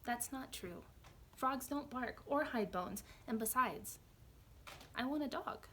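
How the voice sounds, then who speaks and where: A woman's voice: high voice, lively intonation, slow pace, bright, forceful tone, a little hiss or hum, heavily acted. A woman reading a picture book aloud, voicing a stubborn child who insists on getting a dog. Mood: insistent.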